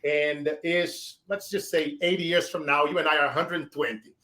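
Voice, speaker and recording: A man's voice: fairly high pitch, steady tone, normal speed. Emphatic Brazilian-accented man host. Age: 40s. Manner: emphatic, preachy, shout-outs. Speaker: show host. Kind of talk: motivational interview wrap-up.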